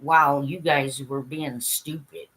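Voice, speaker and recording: A woman's voice: low voice, steady tone, a little slow, fairly bright tone, slightly rough, poor audio. A woman talking straight to her viewers in a scattered, blunt livestream monologue, swearing and laughing as she goes. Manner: addresses the audience directly, asks for agreement. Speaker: livestream host.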